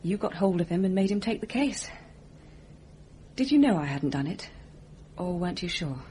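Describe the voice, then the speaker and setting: A weary young woman, speaks in a lowish, subdued voice. She sounds fragile and inward-looking, as in a radio mystery drama, with light noise on the recording. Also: sing-song, moderate pace, warm tone. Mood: haunted, reflective. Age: young adult. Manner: subdued, introspective.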